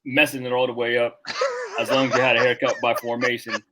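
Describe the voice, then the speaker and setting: A man's voice: mid-range voice, completely flat delivery, a little fast, pushed and bright. A man in his thirties from Rwanda, a Marine veteran guest talking casually and reflectively on a podcast, with lots of filler words.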